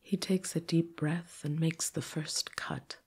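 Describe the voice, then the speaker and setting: A woman narrates a folktale as an oral storyteller. Her voice is husky with a gravelly creak. She takes her time, using dramatic pauses and repetition for suspense. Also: low voice, steady tone, darkish tone.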